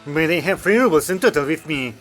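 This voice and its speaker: A woman's voice: low-pitched, lively intonation, fast, soft background music. A woman voicing an earnest, dutiful young woman soldier in a story.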